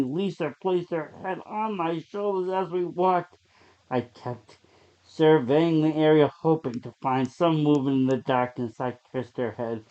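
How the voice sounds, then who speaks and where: A man reading a horror story aloud as a dramatic narrator, with deliberate, suspenseful delivery. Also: mid-range voice, a little mellow. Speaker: story reader. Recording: dull, lo-fi sound.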